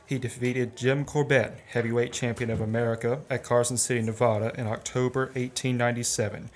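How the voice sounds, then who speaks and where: A man's voice: mid-range voice, level tone, brisk, slight background noise, natural voice. A young man playing a proud music-hall memory performer in a radio play, reciting facts in a formal, precise manner. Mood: proud, exact. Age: young adult. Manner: formal recitation, crisply factual. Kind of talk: stagey radio play acting.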